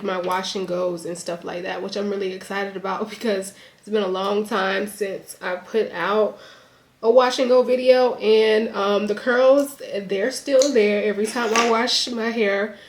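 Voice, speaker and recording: A young woman in a casual sit-down chat to her camera, a bit congested, talking with lots of "like" and "um". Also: mid-range voice. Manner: chatty, lots of "like" and "um". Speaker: YouTuber. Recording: echoey room.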